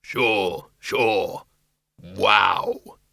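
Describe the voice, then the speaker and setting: A man voicing a huge, hairy, cheerful bogeyman in a slow, matey, eager manner for a storybook. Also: deep voice, vocal fry, pushed and bright, no room sound, clean studio sound, voice pushed down, exaggerated voice. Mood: elated. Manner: slow, friendly, matey delivery.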